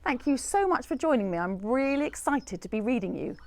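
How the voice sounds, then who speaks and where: A woman reading a rhyming children's picture book aloud in an expressive, rhythmic storyteller's manner. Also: high voice, brisk. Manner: animated, rhythmic rhyming delivery.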